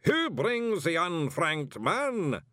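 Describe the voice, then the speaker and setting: A man voicing a pompous ceremonial lodge master in an audiobook, intoning solemnly in a booming voice. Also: wildly swooping pitch, slow, fairly bright tone, dry recording, clean studio sound, exaggerated voice. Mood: commanding, solemn. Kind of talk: audiobook character voice.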